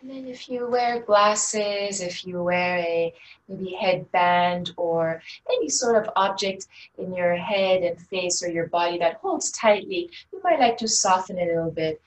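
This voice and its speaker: A woman teaching a guided meditation in a gentle, unhurried, inviting way. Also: on the low side, animated. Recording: distant mic with faint background noise.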